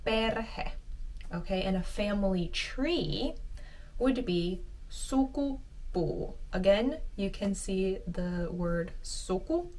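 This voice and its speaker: A woman hosting her own channel, teaching vocabulary with slow, careful pronunciation of each word. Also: mid-range voice, expressive, darkish tone, distant mic, rough recording. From Finland and the US. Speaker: language teacher and channel host. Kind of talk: sponsored segment and vocabulary lesson.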